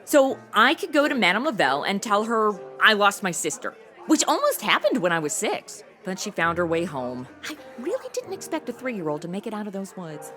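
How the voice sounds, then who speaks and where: A woman's voice: medium pitch, sing-song, fairly quick, few pauses, fairly bright tone, soft background music. An actress playing a flippant, self-absorbed modern young woman in a comedy audio drama, breezy, sassy and slangy. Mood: cocky. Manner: sassy, breezy, slangy, valley-girl phrasing.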